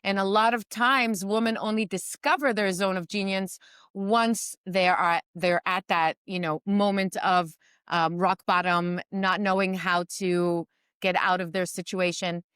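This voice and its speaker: A woman's voice: medium pitch, fairly even intonation, measured pace, a bit bright, clean tone, no room sound, clean studio sound. A woman in her forties from New York, a guest on a video-call interview, telling her story reflectively with many ums and restarts. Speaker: guest entrepreneur and mentor. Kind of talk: remote interview conversation. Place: home in Israel during a storm.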